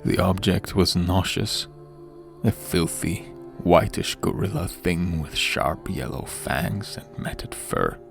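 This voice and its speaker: A man's voice: sits low, takes it slowly, creaky. A man reading a horror story as a dramatic narrator, grave and theatrical, in the manner of an audiobook. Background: background music.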